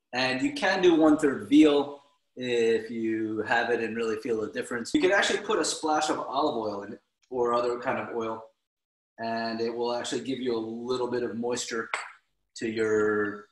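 Relaxed middle-aged man cooking, echoey call. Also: mid-range voice. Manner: relaxed, explanatory, with restarts. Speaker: guest cook. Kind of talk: casual cooking demonstration. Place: home kitchen, indoors. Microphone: laptop video call.

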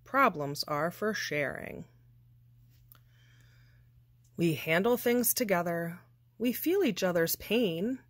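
A woman reading a children's picture book aloud with deliberate, expressive, measured phrasing. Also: fairly low pitch, no echo. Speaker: storybook reader.

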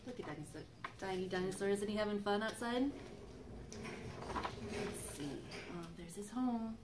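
A middle-aged woman, a children's librarian, reading a picture book aloud to young children in an expressive, sing-song way. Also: mid-range voice, measured pace. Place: library reading area with magazine racks, indoors. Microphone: camera microphone a few feet away. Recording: faint background room noise.

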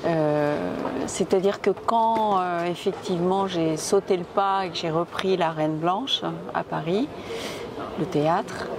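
A middle-aged woman from Tunisia, answering interview questions reflectively with pauses and restarts, on an outdoor café terrace with a lapel mic. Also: on the low side, background noise. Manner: reflective, with frequent hesitations and restarts. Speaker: theatre director and physicist.

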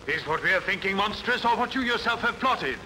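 A man's voice: sits high, animated, brisk, pushed and bright, exaggerated voice. A man playing a sly, accusing nobleman in a theatrical film dub, declamatory and insinuating. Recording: noisy old film soundtrack.

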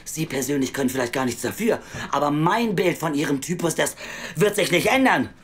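Blunt, deep-voiced female welfare official. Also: expressive, fairly quick. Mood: harsh, impatient. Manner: lecturing, sardonic, blunt. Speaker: actor in audio drama. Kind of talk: naturalistic audio drama acting.

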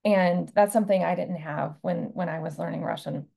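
A woman in her forties talks animatedly on a video call, gesturing as she speaks. Her voice sits low and mellow, with some gravelly fry. It is picked up by a laptop microphone. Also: normal speed. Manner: lively, gestures with hands while talking. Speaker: panelist, Russian teacher. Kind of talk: online panel discussion. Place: home room, video call.

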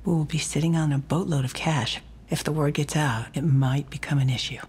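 An actress playing an ambitious, scheming crime crew advisor in a video game, husky, breathy and pointed. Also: female voice, low-pitched, fairly even intonation, a little fast, darkish tone. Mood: conspiratorial. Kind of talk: video game cutscene acting.

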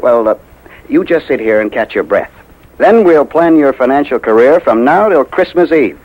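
An American actor playing a kindly, reassuring building superintendent in a 1950s radio drama. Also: male voice, mid-range voice, animated, moderate pace, lo-fi sound, lightly acted. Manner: gentle, reassuring. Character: kindly building superintendent, encouraging a little girl. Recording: noisy 1950s radio broadcast.

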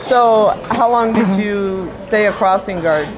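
An older woman with a mid-pitched voice interviews someone in a casual, encouraging way. She is chatty and conversational, and a loud crowd babbles behind her. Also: takes it slowly, poor audio. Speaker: interviewer, county commissioner. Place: indoor lounge by a fireplace.

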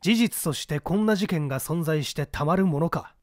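A man narrating a mystery story in a deliberate, dramatic reading style. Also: fairly high pitch, darkish tone, no echo, studio quality.

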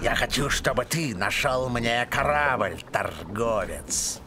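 An actor playing a scheming, bony old cartoon villain with a grumbling, pompous manner. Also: male voice, mid-range voice, lively intonation, slow. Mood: commanding. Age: older. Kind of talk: animated film voice acting.

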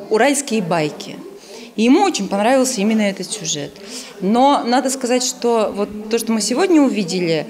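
Woman answering interview questions in halting phrases. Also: medium pitch. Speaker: screenwriter and magazine editor. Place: indoor room with chairs and bookshelves.

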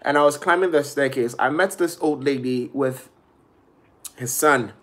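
Animated young man on a webcam live stream. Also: mid-range voice, unhurried. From Ghana. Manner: animated, gestures a lot, quotes people in shifting voices. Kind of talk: casual live stream monologue. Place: small bedroom indoors. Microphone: laptop or phone webcam at arm's length.

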